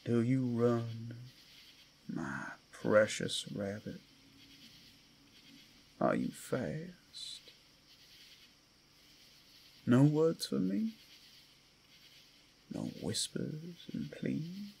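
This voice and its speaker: A man playing a predatory male werewolf in an ASMR roleplay, menacing and seductive, theatrical, with a gravelly voice that often drops to whispers. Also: medium pitch, sing-song, extremely slow, long pauses, darkish tone, slight background noise, full character voice. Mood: taunting, menacing. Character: predatory male werewolf toying with his prey. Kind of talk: ASMR roleplay, dramatic monologue.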